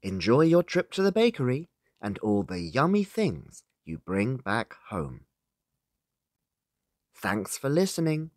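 A man's voice: mid-range voice, huge pitch swings, takes it slowly, no echo, clean studio sound. A British-accented man narrating a scripted beginner listening lesson as a teacher, in simple, separated phrases for learners. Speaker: English teacher.

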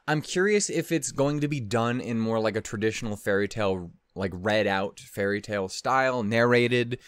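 A man in his thirties giving casual, rambling reaction commentary as a host, swearing and halting as he digresses. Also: medium pitch, clear, resonant tone, no room sound, studio quality. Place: small furnished room at home, indoors. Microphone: close desk condenser microphone.

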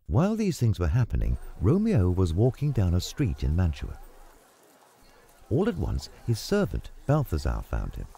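A man reading a scripted story as narrator in a measured, dramatic way. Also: sits low, huge pitch swings, creaky, dark tone, husky. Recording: music under the voice. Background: background music.

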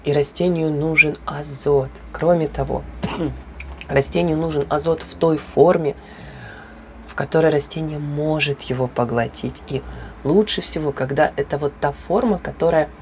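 Hoarse, gravelly woman talking orchids. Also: deep voice, moderate pace, slight background noise. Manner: chatty, animated, with laughs and fillers. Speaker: orchid grower. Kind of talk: casual hobby vlog talk.

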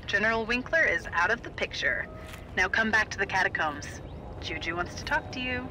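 An actor playing a brisk resistance comrade giving orders in a video game. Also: male voice, sits high, lively intonation, bright, forceful tone, full character voice. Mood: businesslike. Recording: distant voice, faint battle noise.

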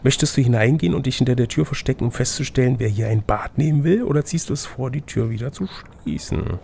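A man's voice: mid-range voice, lively intonation, fast talker, gravelly, warm tone, a little hiss or hum. A man reading out an adventure gamebook as game master, slipping between narration and playful, teasing casual banter.

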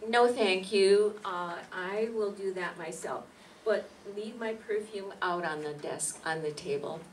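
A young secretary, played by a woman, courteous and reserved but firm, in a staged radio play. Her mid-range voice is picked up from a distance over clear room noise. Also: medium pitch, measured pace, lightly acted. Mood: polite, reserved. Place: meeting room stage before an audience. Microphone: stand microphone. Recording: distant mic, noisy room.

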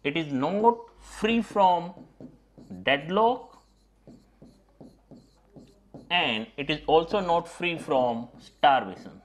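A man's voice: fairly high pitch, animated, extremely slow, pauses often. A middle-aged man teaching an exam-prep lecture in a studio classroom, repeating key points and often addressing his students directly. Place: studio classroom at a digital whiteboard.

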